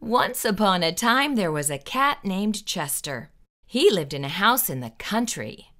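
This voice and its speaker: Bright, animated woman reading to children. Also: mid-range voice. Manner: theatrical, deliberate, emphatic. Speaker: children's storytime host. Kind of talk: expressive picture-book read-aloud. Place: small indoor room with bookshelves.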